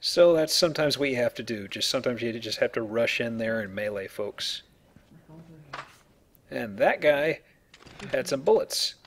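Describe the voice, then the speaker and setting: A man's voice: mid-range voice, expressive, normal speed, long pauses, slight background noise. A man giving casual, wry, joking commentary as he plays a video game. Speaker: let's play host and player.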